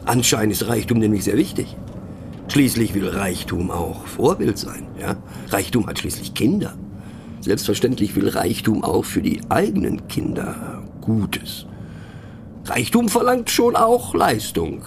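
A man's voice: on the low side, very animated, unhurried, creaky, background noise, exaggerated voice. A man playing a pensive, mocking narrator in a satirical radio play monologue, speaking ironically with conversational, questioning asides. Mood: ironic. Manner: ironic, rhetorical, punctuated with little questioning tags. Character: wry, pensive, mocking male narrator musing on words.

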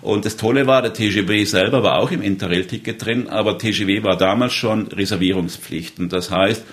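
A man's voice: lowish voice, fairly quick. A man in his fifties from southern Baden in Germany, telling stories conversationally as an interviewee. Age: 50s. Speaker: interviewee recalling rail travels. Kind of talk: conversational storytelling.

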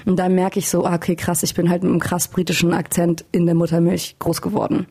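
A woman's voice: on the low side, flat delivery, fast talker, vocal fry, dark tone, slightly rough. A young woman from Hamburg, a singer and guest, talking candidly in a casual interview and laughing at herself. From Hamburg, Germany.

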